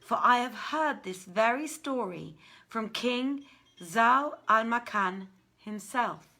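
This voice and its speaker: Female storyteller, unhurried and theatrical, forceful bright tone, deliberate phrasing for classic literary narration. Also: fairly high pitch, expressive. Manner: theatrical, measured, deliberate delivery. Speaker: storyteller reading aloud. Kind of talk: dramatic literary story reading.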